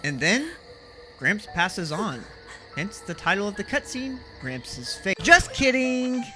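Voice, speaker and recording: A man's voice: high-pitched, big pitch swings, slow, pushed and bright, rough recording. A man narrating a scripted video game analysis as the series host, explaining things with emphasis. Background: background music.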